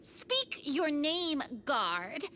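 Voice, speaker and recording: A woman playing an excitable, naive, childlike little companion in an anime game, with a squeaky, animated delivery. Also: extremely slow, slight background noise, exaggerated voice. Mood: startled, then theatrical.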